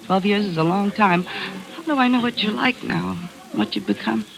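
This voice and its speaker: An actress playing a quick, sharp-tongued woman photographer in a 1940s radio drama, spirited and skeptical. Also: medium pitch, expressive, gravelly, a crowd in the background, poor audio.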